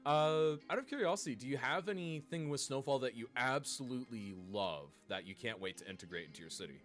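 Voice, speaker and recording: A male host interviews contestants on a stream, his voice swinging up and down with animated intonation. He sounds upbeat and sprinkles in fillers as he talks. Soft music plays faintly in the background. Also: mid-range voice, a little fast. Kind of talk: livestream interview hosting.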